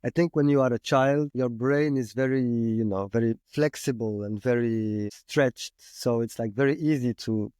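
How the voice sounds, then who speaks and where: Soft-spoken man, low dark voice. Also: normal speed, few pauses, clean tone, dry recording, clean studio sound. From Morocco. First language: Moroccan Arabic. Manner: hesitant, many restarts and fillers. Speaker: podcast guest. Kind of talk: casual interview conversation.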